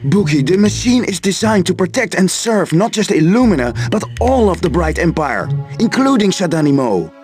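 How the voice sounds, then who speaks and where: An actor playing an earnest, nervous royal scholar in a video game, speaking in a diplomatic, hesitant manner. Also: male voice, high-pitched, very animated, moderate pace, darkish tone, soft background music. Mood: earnest, pleading. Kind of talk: video game cutscene acting.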